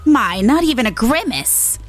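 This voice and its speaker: Woman putting on a pompous game demon, gleefully macabre, swooping pitch, grandiose drawn-out phrasing, music behind. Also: big pitch swings. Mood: wry, grand. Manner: grandiose, drawn-out dramatic delivery. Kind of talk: voiced reading of game dialogue.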